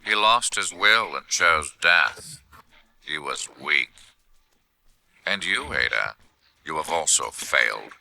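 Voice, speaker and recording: Video game supervillain, rock-bottom pitch, heavy creak, cold, arrogant, scheming, measured, theatrical, menacing. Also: male voice, animated, pushed and bright, heavily acted. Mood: smug, calculating. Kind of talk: scripted video game villain narration and dialogue.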